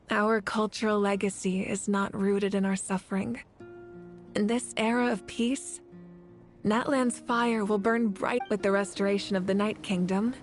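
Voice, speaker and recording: A woman's voice: medium pitch, steady tone, measured pace, faint music behind, lightly acted. A woman playing a dignified, resolute warrior leader in a video game, speaking earnestly and formally. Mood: tender, hopeful. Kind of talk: scripted game voice acting.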